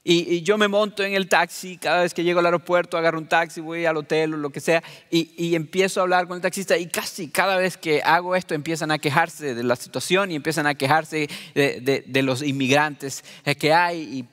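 A man's voice: on the high side, fairly even intonation, a little fast, hardly any pauses, a bit bright, big-room sound. A middle-aged man from Venezuela preaching a sermon to his congregation, earnest and personal in tone. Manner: earnest, addresses listeners as beloved. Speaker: pastor.

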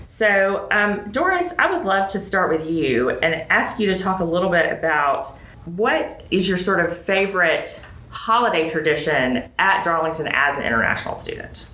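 A woman's voice: lowish voice, expressive, normal speed, pushed and bright. An American woman hosting a conversational interview podcast as a school dean, recorded in an echoey room. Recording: roomy echo, clear background noise.

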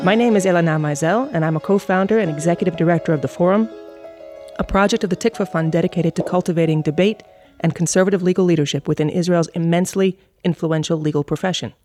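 A woman's voice: on the low side, fast talker, darkish tone, soft background music. A woman hosting a podcast, with a polished, articulate delivery. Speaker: podcast host. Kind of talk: scripted podcast intro and moderating.

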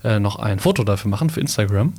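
A young man co-hosting a casual podcast, slowly and haltingly reading a bottle label aloud with many fillers and playful asides. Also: lowish voice, animated, darkish tone. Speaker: podcast co-host.

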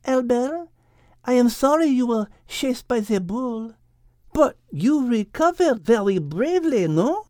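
A man voicing a poised, clever female French cat with measured, polite speech, in an audiobook. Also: extremely high pitch, animated, darkish tone, dry recording, studio quality, pitched above the performer's own voice. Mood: warm, kindly. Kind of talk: audiobook character acting.

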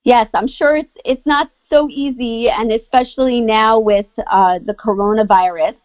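Woman host, upbeat and encouraging, bit high voice, dry muffled lo-fi sound. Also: steady tone, moderate pace, no echo. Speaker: podcast host. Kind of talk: conversational interview. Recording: dry, slightly muffled lo-fi audio.

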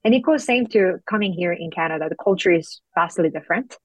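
A woman's voice: lowish voice, animated, fast, no echo, rough recording. A young woman from South Korea, a guest in an interview, speaking thoughtfully and reflectively with frequent fillers. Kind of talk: interview conversation.

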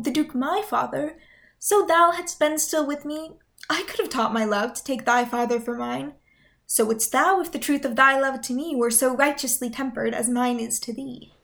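A woman's voice: sits high, normal speed, vocal fry, a bit bright. A woman playing an affectionate, playful young noblewoman in a Shakespearean radio play, with a coaxing, tender, articulate delivery.

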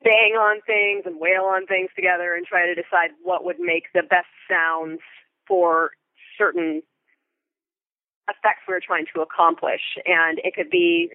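A woman's voice: fairly low pitch, steady tone, measured pace, no room sound. American woman on a dull, lo-fi line. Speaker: guest, theatre Foley artist. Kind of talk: interview conversation.

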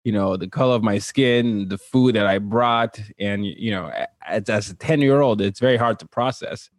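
A man from Nigeria, raised across several countries, speaking as an interview guest in casual, personal storytelling with an animated manner. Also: lowish voice, normal speed, no echo, clean studio sound. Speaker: interview guest, cultural translator and consultant.

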